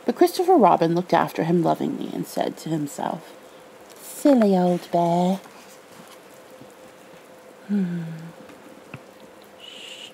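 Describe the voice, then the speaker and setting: A woman narrates a storybook in a tender, hushed ASMR manner, like a caregiver soothing someone to sleep. Her voice is mellow and slightly creaky, moving expressively, over faint background noise. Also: on the low side. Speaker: ASMR roleplay performer reading a bedtime story.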